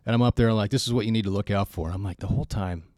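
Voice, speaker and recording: A man hosting a casual fitness podcast, who tells stories in a relaxed, self-deprecating way. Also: lowish voice, rapid-fire, dry recording, studio quality. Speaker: podcast host and fitness school instructor.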